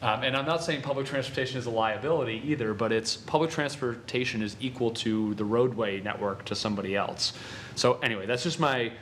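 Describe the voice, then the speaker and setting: A man speaking as a transit official at a city committee meeting, measured and explanatory, with some restarts. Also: mid-range voice, fairly bright tone, a little hiss or hum. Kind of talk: public meeting discussion.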